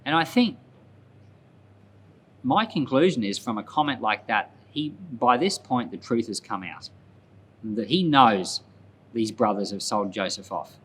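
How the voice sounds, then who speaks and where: A man's voice: medium pitch, animated, unhurried, pauses often, a bit bright. Male pastor explaining scripture, noisy recording. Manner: explanatory, often repeats and rephrases for emphasis. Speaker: pastor and Bible podcast host. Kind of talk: conversational Bible commentary.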